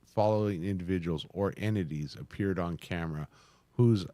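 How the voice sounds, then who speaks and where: A man hosting a reaction video, reading text aloud somewhat haltingly and adding casual commentary. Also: low voice, dark tone, no echo. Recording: music playing behind the voice. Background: music.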